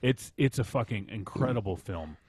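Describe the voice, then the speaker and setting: Man, lower voice, dry close recording, casual film discussion, halting phrasing, podcast reviewer. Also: animated, darkish tone, breathy edge, no room sound.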